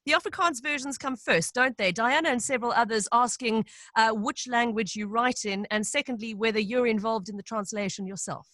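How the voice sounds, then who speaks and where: A woman's voice: a little high, a little fast, fairly bright tone, no room sound. A woman hosting a live radio interview, asking questions and reading out listener messages in a friendly way. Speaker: radio host.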